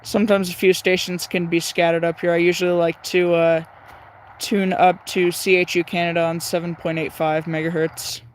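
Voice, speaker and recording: A man's voice: high-pitched, level tone, moderate pace, slight background noise, low-quality recording. A young man hosting a casual livestream, thinking aloud with many hesitant fillers while tuning a radio.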